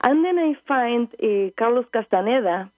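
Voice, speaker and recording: A woman's voice: on the high side, lively intonation, moderate pace, no echo. Argentine-accented woman on a phone line. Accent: Argentine Spanish. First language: Spanish. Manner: earnest, searching for English words. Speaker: guest, spiritual travel leader. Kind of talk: phone-in interview.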